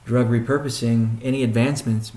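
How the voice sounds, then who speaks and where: A man speaking as a chief executive on a conference call, answering questions in a halting, deliberate way with pauses and restatements, in a roomy recording. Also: mid-range voice, fairly even intonation, darkish tone. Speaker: company CEO hosting a weekly conference call. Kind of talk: question-and-answer business briefing. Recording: roomy, echoing sound.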